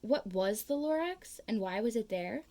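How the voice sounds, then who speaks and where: A woman reading a children's picture book aloud, slow and expressive, with big swings in pitch on key words.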